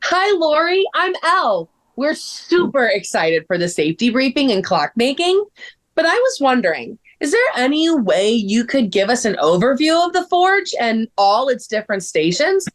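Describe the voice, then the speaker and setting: Woman acting bubbly young woman, gushing, dramatic, a bit vain, high animated voice, script read. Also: moderate pace. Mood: eager curiosity.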